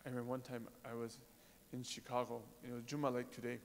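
A man's voice: mid-range voice, little pitch movement, slight background noise. Man preaching in a reverberant hall. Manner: conversational, pausing with fillers, rhetorical questions. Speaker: religious speaker. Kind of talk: informal sermon to a congregation.

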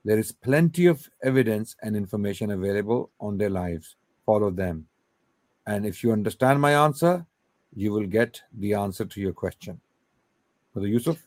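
A man's voice: on the low side, lively intonation, normal speed, a little mellow, no echo. A man hosting a live call-in question and answer show, who answers emphatically and repeats his key points. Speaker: host answering callers' questions.

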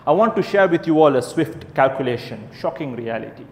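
A man's voice: mid-range voice, lively intonation, brisk, slight background noise. A man preaching a sermon to a live crowd, driving points home with rhetorical questions and emphatic repetition. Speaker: Islamic preacher. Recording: echoey hall with long reverb.

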